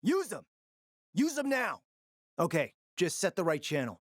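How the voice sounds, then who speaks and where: Scheming, animated post-apocalyptic schemer, dry recording. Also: male voice, sits high, unhurried, no echo, full character voice. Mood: urgent instructing. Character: scheming, foul-mouthed post-apocalyptic city operator, confident and calculating. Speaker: video game voice actor. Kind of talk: dramatic video game dialogue.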